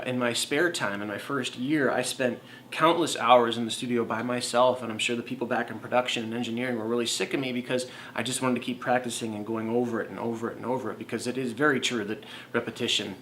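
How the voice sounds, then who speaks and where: A man's voice: mid-range voice, fairly bright tone, slight background noise. A man co-hosting a casual talk, sharing tips on speaking to a camera in an earnest, conversational way with many fillers. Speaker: co-host, former TV meteorologist.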